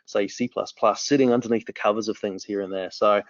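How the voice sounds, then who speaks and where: A New Zealand man in his forties talks with eager energy, often restarting his sentences. His voice sits fairly low. The audio is dry and a little dull, as if captured on a modest mic. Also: fairly even intonation, brisk, dry recording. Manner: enthusiastic, rambling with frequent restarts. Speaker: company founder. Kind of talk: remote interview answers.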